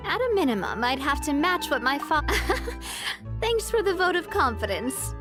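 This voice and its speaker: A woman's voice: high-pitched, big pitch swings, normal speed, music in the background. An actress playing a playful, confident young woman boss in a video game, teasing and breezy but modest about herself. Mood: modest, joking. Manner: teasing, breezy, lightly chuckling. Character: young woman leader, playful, confident, self-deprecating, warm-hearted boss. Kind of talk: animated video game voice acting.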